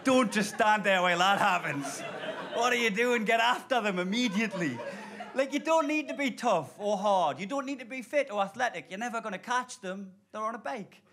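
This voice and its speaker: A man in his thirties from Blyth with a Geordie accent, doing an animated, sweary stand-up set live on stage. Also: sits high, moderate pace, a crowd in the background. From Blyth, northeast England. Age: 30s. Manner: animated, swearing, laughing storyteller. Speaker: stand-up comedian. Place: live comedy club. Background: audience laughter.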